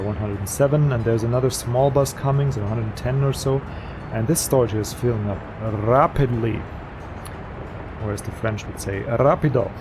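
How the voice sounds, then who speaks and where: A man who is not a native English speaker, casually commenting on a city-building game as he plays, easygoing and jokey, thinking out loud. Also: on the low side. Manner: easygoing, jokey, thinks aloud while playing. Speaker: let's play host.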